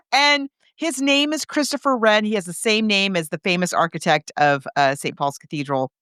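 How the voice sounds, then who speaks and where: Clear-voiced woman, chatty podcast co-host. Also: mid-range voice, moderate pace, a bit bright, dry recording, studio quality. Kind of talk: casual book discussion, recapping a story.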